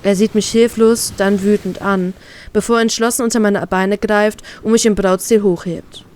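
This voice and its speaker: A woman reading her own fan fiction aloud in an expressive, emotional way. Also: mid-range voice, moderate pace. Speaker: fan fiction author and reader.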